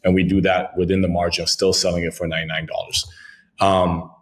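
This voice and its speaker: French-speaking founder from Congo, deep low voice, fluent and jargon-heavy, peppers talk with "right". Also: male voice, little pitch movement, a little fast. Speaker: crypto company founder, guest. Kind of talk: podcast interview, business pitch.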